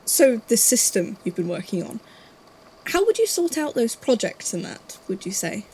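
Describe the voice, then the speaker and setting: A woman playing a calm, curious archivist who puts measured interview questions in a fan audio drama. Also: a little high, a little hiss or hum, low-quality recording.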